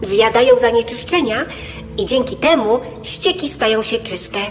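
A woman acting a calm, knowledgeable guide to young explorers in a children's audio drama, reassuring and explanatory. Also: a little high, normal speed, big-room sound, soft background music, close to an everyday voice.

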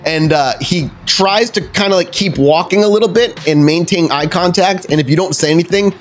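A man's voice: high voice, moderate pace, soft background music. A man running a vampire tabletop game as storyteller, improvising wordy, descriptive narration and correcting himself as he goes.

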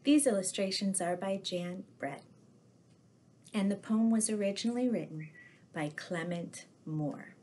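Middle-aged woman, children's storytime reader, mid-range voice, unhurried and expressive read-aloud delivery. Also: medium pitch, a little hiss or hum. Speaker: storyteller in costume as Mrs. Claus. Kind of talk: reading children's picture books aloud. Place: living room with fireplace, indoors.

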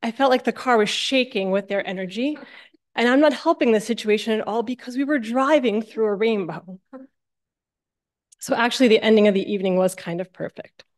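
A woman's voice: fairly high pitch, fairly quick. A middle-aged American woman from New Jersey giving a sermon with personal storytelling at a church lectern. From New Jersey, United States. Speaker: church guest speaker.

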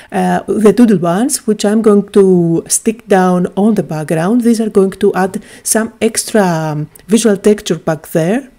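A woman's voice: medium pitch, warm tone. A woman from Greece, chattily and enthusiastically narrating a craft tutorial, with frequent little "uh" fillers.

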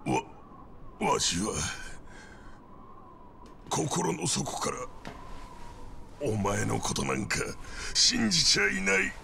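An actor playing a gravely wounded, defiant yakuza boss in a video game, halting and labored, with a gravelly voice. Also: male voice, a little high, wildly swooping pitch, sharp tone, a little hiss or hum, exaggerated voice. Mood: defiant, weakened. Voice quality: gravelly, creaky. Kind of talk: dramatic Japanese video game cutscene acting.

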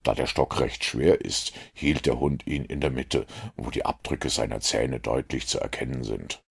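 A man voicing a self-assured, analytical detective in an audiobook, with a gravelly, husky voice and a measured, lecturing manner. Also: rumbling low, fairly even intonation, heavily acted. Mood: smug, assured. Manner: measured, didactic, superior. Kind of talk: audiobook character reading.

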